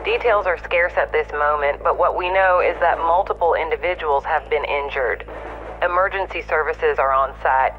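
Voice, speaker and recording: A serious field reporter, played by a man, has a fairly high voice. He gives a formal, factual live report through a dull, radio-like link, with faint music underneath. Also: normal speed. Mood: serious, urgent. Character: serious male on-scene local news reporter. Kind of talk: scripted live news report.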